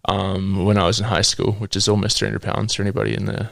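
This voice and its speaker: Man in his twenties, New Zealand accent, low-pitched, halting with ums, dry recording. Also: completely flat delivery, gravelly, a bit bright, no room sound. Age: 20s. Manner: hesitant, with frequent ums and laughs. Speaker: podcast guest. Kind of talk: sharing a personal faith testimony.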